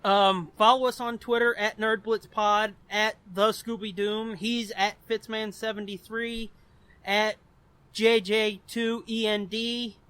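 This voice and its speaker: A man's voice: sits high, flat delivery, slow, sharp tone, clear, resonant tone, no echo. Man, podcast co-host, rambling and profane, dry close sound. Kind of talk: casual podcast chat.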